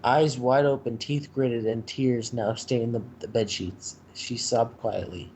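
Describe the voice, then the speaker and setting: A man's voice: mid-range voice, fairly even intonation, measured pace, a little mellow. A man reading a story aloud as its narrator. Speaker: reader in a group fanfiction reading. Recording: faintly noisy home recording.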